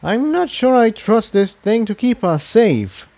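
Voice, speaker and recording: A man's voice: sits high, sing-song, unhurried, darkish tone, pitched above the performer's own voice, heavily acted. A man voicing a wary, anxious female pony with a worried, questioning manner in a fantasy story reading. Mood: nervous, doubtful.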